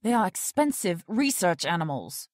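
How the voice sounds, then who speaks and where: A woman's voice: lowish voice, very animated, normal speed, no room sound. Strong-willed indignant woman, dry sound. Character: indignant, loyal female research-facility worker, strong-willed. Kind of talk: audio drama acting.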